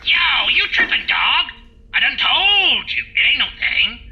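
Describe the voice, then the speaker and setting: A woman's voice: high voice, very animated, a little slow, highs cut off, very noisy, exaggerated voice. A woman playing a brash, cocky comic radio sidekick who puts on exaggerated street slang, through a radio-like filter. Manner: brash, clipped slangy swagger. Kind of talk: comic radio drama banter.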